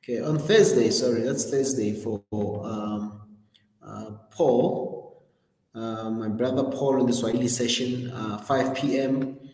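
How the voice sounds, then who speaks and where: Guy hosting a stream, lots of ums and restarts, middle pitch, roomy echo with long tail. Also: slow pace, darkish tone. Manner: rambling, many ums and self-corrections. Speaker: host. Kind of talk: casual livestream announcements.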